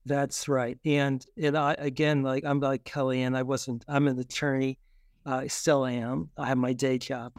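Clear-voiced man, halting conversational delivery. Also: medium pitch, flat delivery, no echo, studio quality. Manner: halting, repeats words and restarts. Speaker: guest, children's book author. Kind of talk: conversational anecdotes in an interview.

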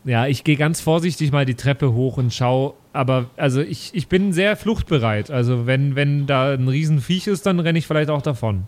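A man's voice: mid-range voice, normal speed, few pauses, a little mellow. A man playing an improvised tabletop role-playing game and chatting casually with the group. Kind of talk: casual improvised play and banter.

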